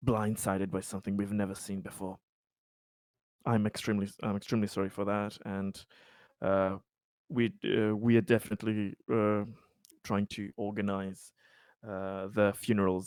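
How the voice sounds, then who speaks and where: A man playing an earnest, apologetic space colony officer in a tabletop game, speaking formally and haltingly. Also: fairly low pitch, darkish tone, no echo.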